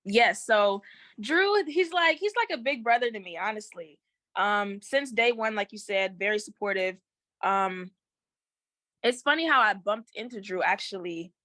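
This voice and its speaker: A young woman from Haiti chats as a guest in an interview, loose and slangy, with plenty of likes and ums. Her mid-pitched voice comes through close and dry, with no room sound around it. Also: a little slow, dry recording. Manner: relaxed, slangy, with frequent fillers. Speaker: singer, guest. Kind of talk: casual interview chat.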